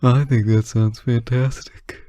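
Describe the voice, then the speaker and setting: A man playing a sleep-deprived, teasing boyfriend on an intimate late-night call, soft-spoken, hesitant and gently joking. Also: mid-range voice, level tone, a little slow, dry recording, lightly acted. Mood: playful, fond. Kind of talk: intimate audio roleplay, one side of a phone call.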